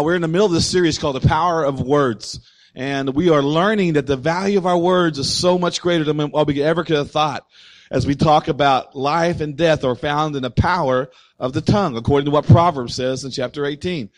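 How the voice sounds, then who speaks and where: A man preaching a sermon as pastor, slightly hoarse, speaking loudly and emphatically and calling out to the congregation. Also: fairly high pitch, no echo. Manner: loud, emphatic preaching with audience call-outs. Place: church.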